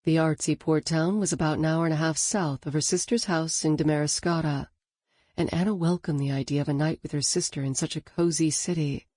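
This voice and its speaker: A woman reading a novel as an audiobook narrator in a calm, even storytelling manner. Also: low-pitched, brisk, dry recording, clean studio sound. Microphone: studio microphone.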